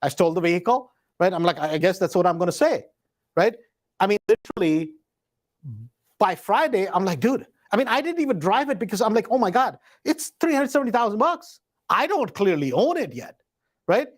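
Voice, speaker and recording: Male host, high voice, informal raconteur, emphatic, slangy, acts out both sides of conversations. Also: brisk. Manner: animated retelling with acted dialogue, emphatic repetition. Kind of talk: casual anecdotal storytelling.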